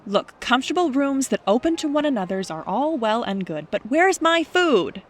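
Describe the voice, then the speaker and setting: A woman's voice: sits high, very animated, normal speed. A woman playing a blunt, wisecracking house guest in an audio drama, with a dry, wry way of talking. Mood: dry teasing. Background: music.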